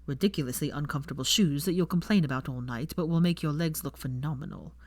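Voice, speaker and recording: Casting: sardonic young wizard for an audio story, low drawl, mocking and teasing, close dry sound female reader acting the man. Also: fast talker, a little mellow, no echo.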